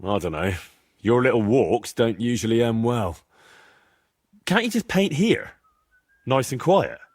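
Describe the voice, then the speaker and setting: An actor playing a plain-spoken, sceptical young medieval adventurer in a video game, with a down-to-earth, conversational manner. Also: male voice, medium pitch, big pitch swings, unhurried, darkish tone. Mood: curious. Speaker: protagonist of a game. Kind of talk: video game cutscene dialogue.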